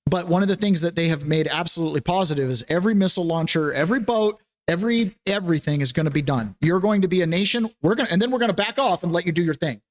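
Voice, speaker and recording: A man's voice: sits high, rapid-fire, few pauses. A man hosting a casual political talk show, joking and sardonic, laughing often. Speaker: show host.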